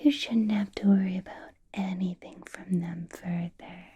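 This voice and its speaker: A woman playing a possessive, menacingly sweet vampire boss in a whispered ASMR roleplay, coaxing and polite with drawn-out words. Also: mid-range voice, fairly even intonation, mellow. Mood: protective, soothing. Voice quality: breathy whisper.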